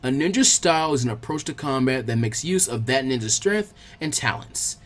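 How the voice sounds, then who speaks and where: A man's voice: medium pitch, animated, moderate pace. A man reading a scripted story aloud as its narrator.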